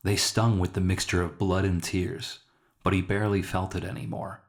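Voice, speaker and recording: A man's voice: deep voice, level tone, normal speed, creaky. A man reading scripted fiction as the narrator of his own short story.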